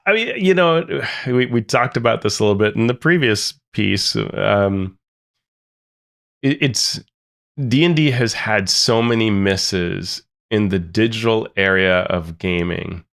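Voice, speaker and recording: A man's voice: lowish voice, measured pace, creaky, fairly bright tone. A man co-hosting a podcast, talking earnestly and critically with frequent restarts. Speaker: podcast co-host. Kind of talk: casual discussion.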